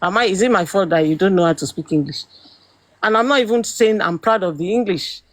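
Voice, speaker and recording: A middle-aged Nigerian woman hosting a talk, ranting forcefully and firing off rhetorical questions. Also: medium pitch, brisk, dry recording. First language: Yoruba. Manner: emphatic, rhetorical questions, rising to exclamations. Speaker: host. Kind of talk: opinionated commentary.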